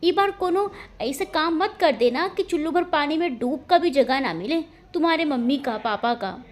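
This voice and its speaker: A woman voicing a bossy, sharp-tongued older great-aunt with a Bihari accent, blunt and taunting, in an audio story. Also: sits high, expressive, normal speed. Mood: stern, scornful.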